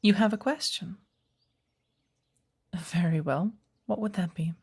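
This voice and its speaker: A patient witch of the woods , acted by a woman, teaches magic in an ASMR roleplay. Her voice is husky and breathy, often dropping toward a hush. She chooses her words formally and delivers them with gentle, measured care. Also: lowish voice, exaggerated voice. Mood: calm, fond.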